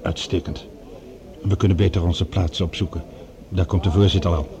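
A courteous professor with a lowish voice in a radio play. He is wary and formally collected, with a clear crowd murmur behind him. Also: steady tone, moderate pace. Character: courteous, loyal, wary male professor and deputy. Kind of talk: radio drama acting.

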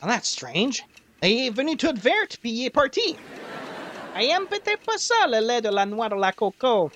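A man playing an animated, exclamatory buddy. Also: extremely high pitch, measured pace, background noise, full character voice. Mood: puzzled. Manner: exclamatory, mixing English into broken French. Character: exuberant anglophone buddy with mangled French. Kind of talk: old-time radio comedy acting.

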